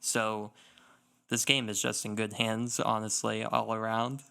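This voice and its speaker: A man's voice: on the low side, flat delivery, measured pace, gravelly, pushed and bright, clean tone. A young adult man co-hosting a podcast, talking informally in a casual chat about games. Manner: informal, conversational. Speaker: podcast co-host.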